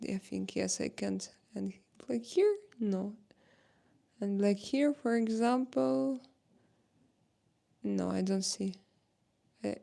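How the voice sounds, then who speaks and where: A woman's voice: mid-range voice, creaky, warm tone. A young woman with a Russian accent hosting a casual live stream who reads viewer comments aloud and chats in non-native English, with plenty of fillers and restarts. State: just back from a workout.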